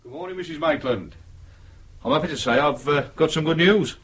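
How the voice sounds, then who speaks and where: An actor playing a dogged, weary police detective in a radio drama, speaking in a blunt, sardonic, grumbling way. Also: male voice, on the high side, brisk, a bit bright, breathy edge. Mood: dry, polite.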